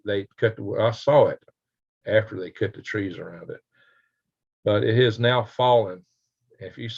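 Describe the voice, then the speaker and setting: An older man with a raspy voice chatting casually and folksily as he hosts a live stream and reads out comments. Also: mid-range voice. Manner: folksy, rambling, chuckling country talk. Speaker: livestream host. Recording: dull lo-fi livestream audio.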